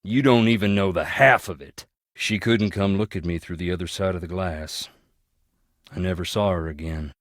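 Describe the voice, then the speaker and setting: Man playing low-voiced, brooding heir of a troubled family. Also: normal speed, dry recording, clean studio sound, close to an everyday voice. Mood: guarded, bitter. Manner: folksy, wry, bitter storytelling. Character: brooding, plain-spoken man of a rich, troubled old family. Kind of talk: video game character dialogue.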